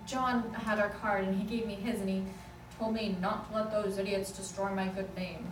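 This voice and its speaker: A woman's voice: mid-range voice, flat delivery, moderate pace, lightly acted. A woman reading the part of a skeptical, reluctant law office assistant in an amateur script table read. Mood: concerned. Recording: distant, echoey, noisy room.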